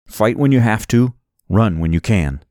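A man's voice: fairly low pitch, lively intonation, a little slow, dark tone, no echo, clean studio sound. A man voicing an earnest, devout and steadfast young male hero in an audiobook. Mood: urgent. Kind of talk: audiobook character voice.

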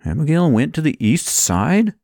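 Guy playing an earnest take-charge man in an audiobook, pitched up a bit, caring, dry recording. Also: big pitch swings, moderate pace, darkish tone, no echo. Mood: alarmed.

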